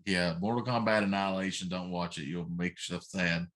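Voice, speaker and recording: A man's voice: sits low, fairly even intonation, fairly quick, a bit bright. A middle-aged man co-hosting a casual chat show, speaking in an opinionated, emphatic way. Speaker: talk show co-host. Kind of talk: casual chat about films.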